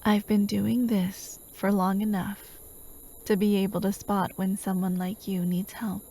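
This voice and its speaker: A woman playing a doting, possessive female hypnotist in a soft-spoken ASMR roleplay, gentle and coaxing, often slipping into a whisper. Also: mid-range voice, a little slow. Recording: steady outdoor night ambience, chirping crickets. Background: crickets and night insects.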